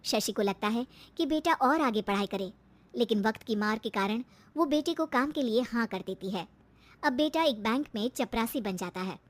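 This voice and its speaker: High-pitched woman storyteller. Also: expressive, normal speed, no echo. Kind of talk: scripted narration of a Hindi moral cartoon story.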